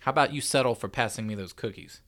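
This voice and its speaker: Man playing a wisecracking, grumbling dad, bright voice. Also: mid-range voice, fast, lightly acted. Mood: joking. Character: grumbling, wisecracking husband and father, adult man.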